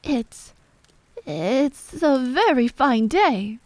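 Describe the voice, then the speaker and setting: A nervous little male rabbit from a storybook, played by a woman, pitched high above her usual voice. He speaks in hushed, fearful tones, often sinking toward a whisper. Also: big pitch swings, drawn-out words, no room sound, full character voice. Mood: timid.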